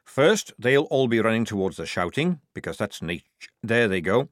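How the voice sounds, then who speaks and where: Gruff, sly storybook sergeant, acted by a male narrator, growling and brash, lively pitch swings. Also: normal speed, dry recording, studio quality. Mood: hushed, calm.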